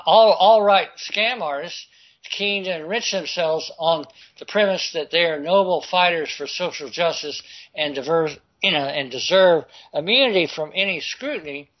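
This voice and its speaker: A man reading news articles aloud and commenting on them, halting and stumbling over words as he goes. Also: a little high, lo-fi sound. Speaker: political commentary host.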